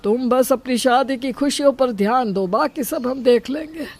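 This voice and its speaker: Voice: sits high, normal speed. A middle-aged father in a storybook family drama, put on by a voice actor. He is reassuring and indulgent, placating and advising his child. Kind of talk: dramatised Hindi story acting.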